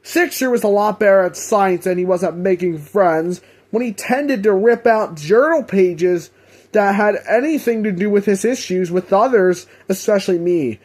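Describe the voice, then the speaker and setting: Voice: mid-range voice, moderate pace, darkish tone, natural voice. A performer voicing a gleeful, scheming demon villain in a theatrical, boastful and mocking storybook reading. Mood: sly, scheming. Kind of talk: dramatic character audiobook reading.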